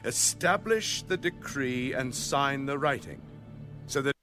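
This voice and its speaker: A man's voice: medium pitch, animated, slow, music in the background. A man acting a scheming, formal royal official who petitions a king in an audio Bible drama. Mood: urging, formal.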